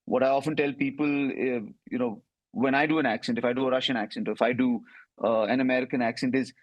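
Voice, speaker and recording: A man with an Indian accent talks through his answers in an interview, thoughtful and conversational, dropping quick asides as he goes. His voice sits at an ordinary middle pitch. The sound is dry and close, with almost no room behind it. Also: fairly even intonation, dry recording, lo-fi sound. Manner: reflective, quick asides with "you know". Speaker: interview guest, comedian.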